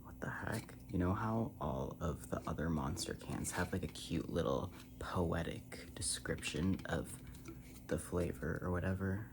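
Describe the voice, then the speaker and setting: An American ASMR creator rambling softly and casually in a breathy half-whisper, with a self-deprecating manner, close to the microphone. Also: low voice, a little slow. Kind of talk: soft-spoken ASMR rambling. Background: tapping and scratching on cans.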